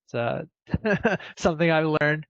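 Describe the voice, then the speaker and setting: Gravelly man casually telling a story. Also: on the high side, expressive, unhurried, a bit bright, no room sound. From Ukraine. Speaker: program participant telling his story. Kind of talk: casual personal storytelling on a group call. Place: video call. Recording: dull, close, dry video-call audio.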